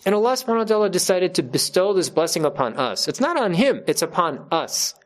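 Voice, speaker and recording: A man's voice: high voice, sing-song, fast talker. Earnest man giving a religious talk. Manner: earnest, emphatic, repeats key phrases for stress. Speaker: religious teacher addressing his congregation.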